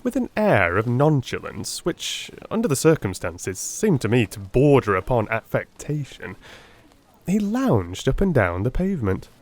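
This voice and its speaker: A man narrating an audiobook in a dramatic, measured, literary style. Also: medium pitch, sing-song.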